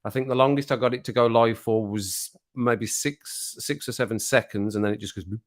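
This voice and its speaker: A man's voice: medium pitch, fairly even intonation, fairly quick. Chatty man hosting a home live stream. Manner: chatty, jokey, laughs at his own jokes. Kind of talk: casual chat to a live chat audience. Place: at home, living alone.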